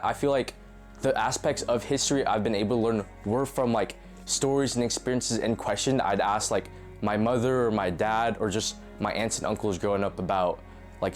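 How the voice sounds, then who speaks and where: A young man from the Philippines, giving thoughtful, measured answers in a documentary interview. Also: fairly low pitch, soft background music.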